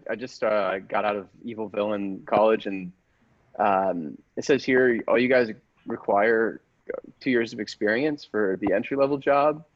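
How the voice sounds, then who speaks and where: A man improvising a hesitant, awkward everyman in a comedy sketch, halting and stammering, with slightly dull audio. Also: fairly low pitch. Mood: pleading. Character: hesitant, awkward everyman, aspiring young supervillain intern.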